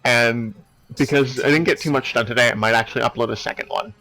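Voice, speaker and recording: A man's voice: medium pitch, steady tone, fast talker, creaky, pushed and bright, rough recording. A man hosting a let's play, explaining things in casual, informal commentary. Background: faint game music.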